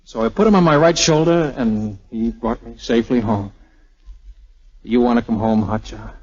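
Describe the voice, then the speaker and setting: An actor with an American accent playing a wry, guarded, lovestruck major in a 1940s radio drama, in a halting, casual, slangy way. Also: male voice, medium pitch, a little mellow, close to an everyday voice. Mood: tender, hesitant. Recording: muffled old 1940s radio recording with music.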